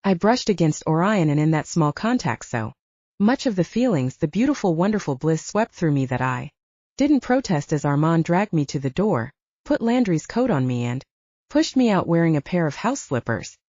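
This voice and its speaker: A woman's voice: low voice, very animated, moderate pace, warm tone, no room sound, muffled recording. A woman reading first-person fiction as a scripted audiobook narration.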